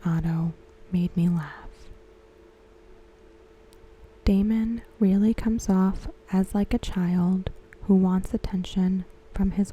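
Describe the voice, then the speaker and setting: A woman speaks in a very soft, mellow ASMR hush, giving her commentary gently. Her voice is breathy and whispery, with a slow, unhurried delivery. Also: medium pitch. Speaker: ASMR content creator reviewing a TV episode.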